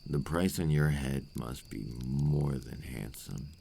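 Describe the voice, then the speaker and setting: Very deep, gravelly vampire played by a man. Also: warm tone, slight background noise. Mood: probing, calm. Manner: slow, intimate, formal old-fashioned phrasing. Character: possessive, remorseful male vampire captor, courtly and menacing. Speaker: ASMR roleplay voice actor. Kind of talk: ASMR roleplay acting, scripted monologue.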